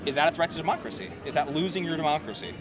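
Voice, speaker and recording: A man's voice: fairly high pitch, steady tone, racing, music in the background. A man interviewing passers-by on the street, probing with follow-up questions. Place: outdoors on a busy urban street. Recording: noisy outdoor street with traffic.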